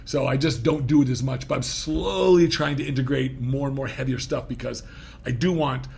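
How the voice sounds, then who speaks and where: Gravelly man in his fifties, rambling. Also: medium pitch, normal speed, darkish tone. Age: 50s. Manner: rambling, self-interrupting, laughing easily. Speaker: podcast host. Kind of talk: casual interview talk.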